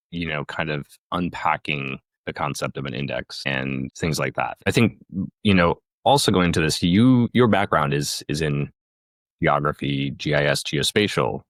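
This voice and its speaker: A man hosting a podcast, asking questions in a relaxed, conversational way. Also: rumbling low, dry recording. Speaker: podcast host. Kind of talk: casual interview chat.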